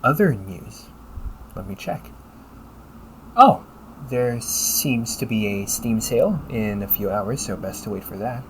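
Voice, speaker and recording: A man voicing an upbeat, teasing AI companion in an audio roleplay, polite and fussily helpful, with a gently nagging manner. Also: fairly low pitch, lively intonation, slow, long pauses, slight background noise, low-quality recording. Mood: helpful, chatty. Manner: polite, chirpy, gently nagging, butler-like phrasing. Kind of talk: scripted audio roleplay addressed to the listener.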